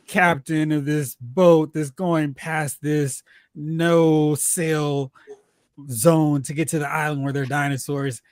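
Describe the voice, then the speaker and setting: A man's voice: on the high side, no echo, studio quality. A man hosting a movie talk show, chatting casually and jokingly about films and recapping news.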